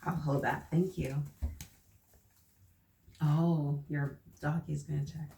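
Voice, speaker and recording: A woman hosting children's story time, reading aloud and talking to young listeners in an expressive, encouraging way. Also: low voice, slow, creaky. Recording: echoey room, distant mic, faint noise.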